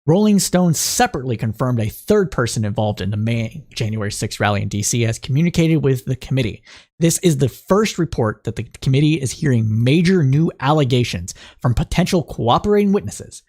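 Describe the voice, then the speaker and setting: A man of middle pitch hosting a political news podcast, his voice a little raspy. He talks the news through as he thinks, stopping for fillers and restarts, then moves into reading report excerpts aloud. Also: lively intonation, brisk, darkish tone. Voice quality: slightly raspy. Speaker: podcast host.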